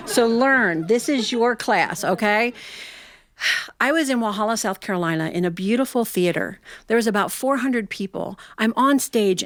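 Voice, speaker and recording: A middle-aged woman from the United States performs humorous personal stories for a live audience. Her voice is slightly high and recorded dry. She is folksy and confiding, and uses dramatic pauses. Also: no room sound. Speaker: storyteller.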